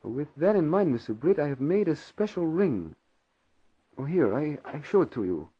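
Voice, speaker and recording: An actor playing a loyal, careful valet in a radio drama, speaking clipped, deferential broken English. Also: male voice, fairly high pitch, big pitch swings, moderate pace, slightly rough. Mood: earnest explaining. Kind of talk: old-time radio drama acting. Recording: dull lo-fi vintage radio recording.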